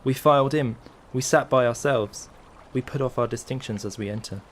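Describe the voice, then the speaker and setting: A man's voice: medium pitch, normal speed, a little hiss or hum, natural voice. A man acting an orderly, self-effacing banker's son, speaking earnestly and with reserve in a literary drama. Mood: earnest, reverent.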